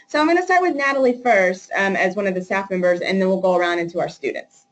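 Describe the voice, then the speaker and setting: A woman's voice: medium pitch, fast, dull audio. A woman hosting a webinar as associate director, friendly and loosely organized, with fillers.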